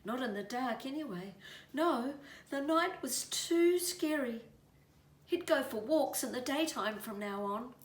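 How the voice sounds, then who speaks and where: A woman reading a children's story aloud in an expressive, deliberate way. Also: high-pitched. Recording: roomy, distant mic, faint noise.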